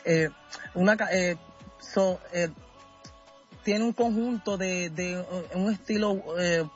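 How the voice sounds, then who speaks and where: A man from Venezuela talking casually as a guest in a radio interview, halting and often searching for his words. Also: sits high, lo-fi sound, soft background music. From Caracas, Venezuela. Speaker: musician being interviewed.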